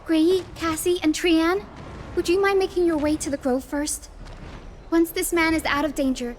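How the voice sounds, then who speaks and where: A woman's voice: very high voice, steady tone, normal speed, a little hiss or hum. A woman playing a caring, dutiful healer in a video game, formal and courteous. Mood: composed, dutiful. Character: composed, caring female healer, calm and dutiful. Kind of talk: video game dialogue acting.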